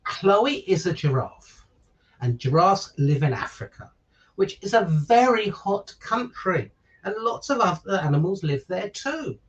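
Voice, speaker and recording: Animated man reading a children's story, distant mic. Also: a little high, muffled recording. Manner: chatty asides and questions to listeners. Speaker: stand-in children's story reader. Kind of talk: children's picture book read-aloud. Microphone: virtual call, away from the microphone.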